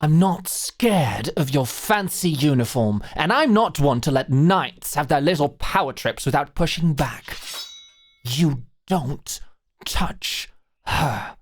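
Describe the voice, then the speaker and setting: A woman's voice: sits low, animated, takes it slowly, heavily acted. A woman playing a protective, hot-headed young female guard in a medieval fantasy audio drama, blunt and challenging. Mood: defiant.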